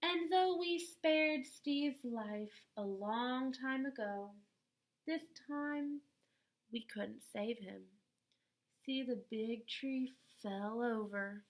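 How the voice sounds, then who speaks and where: A woman reading a picture book aloud to young children in an expressive, deliberate way, like a teacher at storytime. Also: fairly high pitch.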